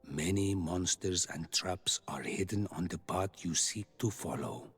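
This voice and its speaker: Deep, slow, husky healer. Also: male voice, fairly bright tone, soft background music, exaggerated voice. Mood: grave, gentle. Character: solemn, kindly male native healer, mature, wise. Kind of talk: video game cutscene acting.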